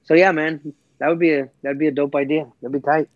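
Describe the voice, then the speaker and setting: A middle-aged man with a slightly raised pitch, trading loose, profane banter. His voice is recorded dull and lo-fi, completely dry with no room sound. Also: steady tone, fast, dry recording. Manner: laid-back, profane banter. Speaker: podcast co-host. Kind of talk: casual chat.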